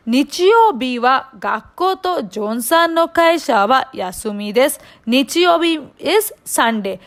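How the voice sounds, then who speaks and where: A woman language teacher with an Indian accent and a high voice. She takes her time, explaining phrases piece by piece in a didactic way and putting questions to the learners. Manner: didactic, checks understanding with questions. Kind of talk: online language lesson with line-by-line translation and explanation.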